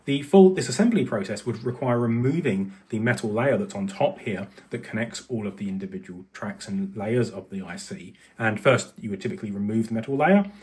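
A man's voice: lowish voice, darkish tone. A man hosting an electronics video, narrating a hands-on demonstration at his desk, thinking aloud with fillers and self-corrections. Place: desk with microscope and monitor, indoors.

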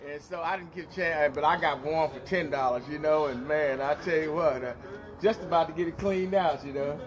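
A man's voice: a little high, fairly quick, a crowd in the background. A man chatting in a folksy, agreeable way outdoors, caught off-mic by another man's phone. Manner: folksy, agreeable, repeats "I'm telling you". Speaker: fellow storage unit buyer. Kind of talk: casual chat. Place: outdoors at a storage facility. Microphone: picked up off-mic by another man's phone.

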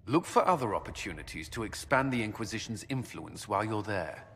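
A man's voice: on the low side, animated, brisk, fairly bright tone, long reverb tail. An actor playing an earnest male military commander who speaks firmly and directly.

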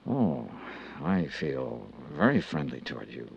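An actor with an American accent playing a wry, hard-boiled insurance investigator with clipped delivery in a 1950s radio drama. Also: male voice, very deep voice, big pitch swings, unhurried, vocal fry, breathy edge, heavily acted. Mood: dry, cool. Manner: clipped, sardonic. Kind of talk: 1950s radio detective acting and narration. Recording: dull lo-fi 1950s radio recording.

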